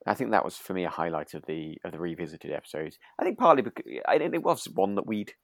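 Man, low voice, dry close sound, podcast co-host, casual chat, hesitant, gently enthusiastic. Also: sing-song, fast talker, vocal fry, dry recording. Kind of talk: casual chat about a radio drama.

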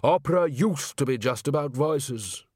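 A man voicing a wistful old music director, reminiscing slowly and mournfully in a storybook. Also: mid-range voice, animated, darkish tone, breathy edge, no echo, clean studio sound. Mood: wistful, nostalgic.

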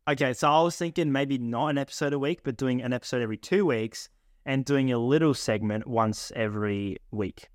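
A man's voice: mid-range voice, a little fast, no echo, studio quality. A man with an Australian accent co-hosting a casual podcast in a laid-back, joking way. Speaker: podcast co-host. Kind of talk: casual chat.

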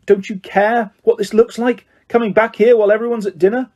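A man's voice: sits high, level tone, fairly quick, a little mellow, highs cut off, voice pushed up. A man voicing a pompous, fussy teenage boy prefect who talks in an officious, indignant, scolding way in a storybook reading.

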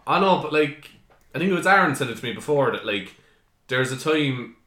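A man's voice: medium pitch, animated, fairly quick, sharp tone. A young man hosting a podcast, rambling and swearing in casual chat. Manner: swearing, rambling, laughing often. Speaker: podcast host. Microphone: podcast mic. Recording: echoey room.

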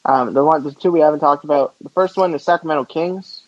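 A man's voice: fairly high pitch, fast, dry recording, highs cut off. A man co-hosting a sports podcast over a remote call, giving casual, opinionated takes. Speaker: podcast co-host.

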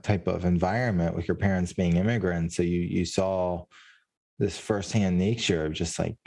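Male podcast host, deep mellow voice, curious and supportive questioner. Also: steady tone, moderate pace, creaky, husky. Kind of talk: casual interview conversation.

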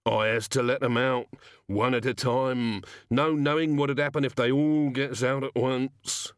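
A man's voice: medium pitch, steady tone, a little slow, no echo, clean studio sound, natural voice. A man voicing a small shabby gnome thief in a storybook reading, pleading and evasive, with hesitant, uneducated street speech.

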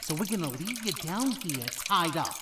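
An actor playing a bossy, sneering older-brother gangster with a knife in a 1930s noir audio drama, easily exasperated. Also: male voice, high-pitched, very animated, normal speed. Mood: menacing, mocking. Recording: roomy, distant, slightly noisy.

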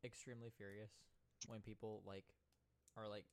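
A man joining a casual chat, asking loose, halting questions. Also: lowish voice, little pitch movement, a little hiss or hum.

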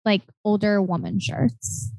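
A woman in her late twenties co-hosting a podcast, telling stories in a chatty, animated way full of "like" fillers. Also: mid-range voice, slow, vocal fry, no echo, low-quality recording. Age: 20s. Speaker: podcast co-host. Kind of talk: casual chat.

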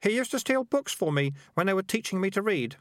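Man voicing an earnest, mild boy, higher-pitched. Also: very animated, a little fast, no room sound, clean studio sound. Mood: sad, earnest.

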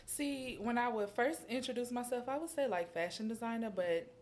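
A woman in her early twenties, a designer guest, talking in a relaxed, reflective way with many "like" fillers. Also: fairly high pitch, normal speed, a little hiss or hum. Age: 20s. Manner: relaxed and reflective, lots of "like" fillers, trails off softly. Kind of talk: casual interview chat.

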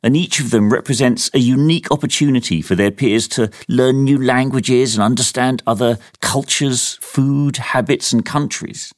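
A man reading scripted narration for a documentary podcast in a measured, steady way. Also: mid-range voice.

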